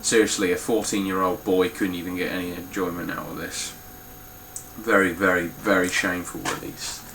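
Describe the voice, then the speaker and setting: A man's voice: low-pitched, fairly even intonation, normal speed, a bit bright, slight background noise, low-quality recording. A man with a stuffy, nasal voice giving a casual, blunt spoken review of his game collection, with frequent ums and some swearing.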